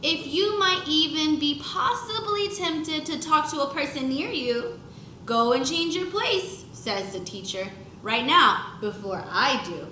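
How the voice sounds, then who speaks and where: A woman's voice: high-pitched, lively intonation, measured pace, bright, forceful tone, echoey room, slight background noise. A woman teacher reading a children's book aloud expressively to young pupils, acting out the lines and adding short explanations. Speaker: first grade teacher.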